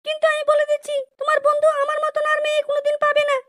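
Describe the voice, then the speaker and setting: A woman voicing an aggrieved young village wife in an amateur comedy cartoon, squeaky and scolding in an indignant, complaining way. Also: completely flat delivery, very fast, fairly bright tone. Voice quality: squeaky, artificially high-pitched.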